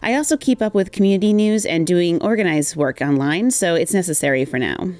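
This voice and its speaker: A woman's voice: lowish voice, brisk, darkish tone, dry recording. A woman reading a personal testimony aloud as a guest contributor. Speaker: guest reader of a written community response.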